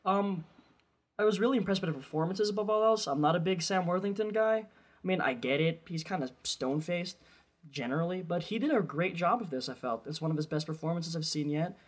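High, husky-voiced man chatting about films. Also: animated, brisk. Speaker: film podcast co-host. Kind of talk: casual chat about films.

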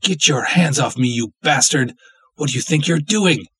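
A man voicing a feisty young American woman held captive in a dramatic story reading, slangy and forceful. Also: on the high side, animated, moderate pace, husky, no echo, pitched above the performer's own voice, full character voice. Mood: frantic, furious.

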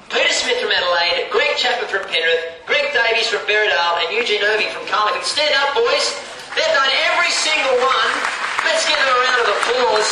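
A man with an Australian accent jovially addressing a crowd as an event announcer. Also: high voice. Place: outdoor triathlon prize gathering. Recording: echoey, distant PA over crowd noise.

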